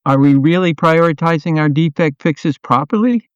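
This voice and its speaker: Man acting as earnest product owner, clear voice. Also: on the high side, normal speed, no room sound, dull audio, close to an everyday voice. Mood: probing. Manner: earnest, questioning, conciliatory. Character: Jeremy, concerned, earnest male software product owner. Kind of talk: amateur radio play dialogue.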